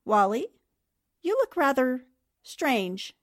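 An actress playing a sharp, observant, inquisitive newspaper reporter in a 1940s-style radio drama. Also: female voice, high voice, big pitch swings, slow, full character voice. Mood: observant, probing. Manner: probing, precise, questioning.

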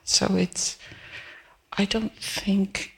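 An older woman in her seventies from Peru speaks with a gravelly, very raspy voice that holds a flat, even pitch. She talks hesitantly, with soft restarts, as an audience member at a live event. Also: extremely slow. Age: 70s. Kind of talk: halting personal testimony.

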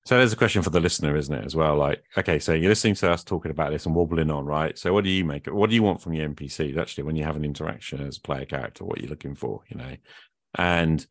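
A man's voice: a bass voice, dry recording, studio quality. A man hosting a podcast in a casual, conversational and musing way. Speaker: podcast host.

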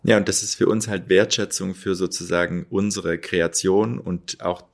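A man's voice: low voice, fairly even intonation, normal speed, vocal fry. A man who founded a hotel, talking reflectively as an interview guest, searching for words with many fillers.

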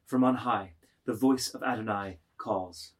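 A man's voice: on the low side, measured pace, slightly rough. A man narrating a literary script, reading stage directions in a declamatory way.

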